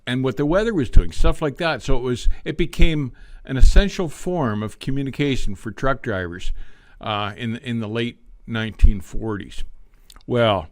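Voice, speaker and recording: Older male, livestream host with trucker background. Medium pitch, folksy reminiscing delivery, unrushed, very little room sound. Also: lively intonation, normal speed, no echo. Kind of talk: casual reminiscing talk on a live stream.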